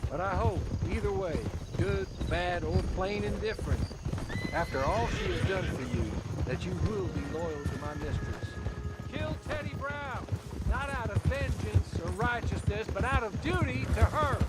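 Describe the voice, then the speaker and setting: A man playing a loyal, earnest servant in a western game, speaking in a measured, solemn manner. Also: high voice, lively intonation, heavy background noise. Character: loyal, earnest servant guiding a hired gun. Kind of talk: dramatic western game dialogue.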